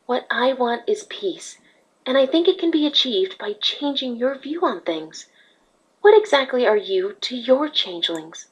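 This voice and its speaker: A woman playing a calm, regal pony princess in a fan audio drama, speaking patiently and in measured phrases. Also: fairly high pitch, husky, exaggerated voice, rough recording. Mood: calm, probing. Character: calm, regal, gentle pony princess, female, peace-seeking.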